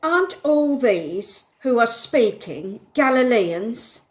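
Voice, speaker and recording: A woman reading a part of a Bible passage aloud in church, expressive and questioning. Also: a little high, takes it slowly. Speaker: Bible reader.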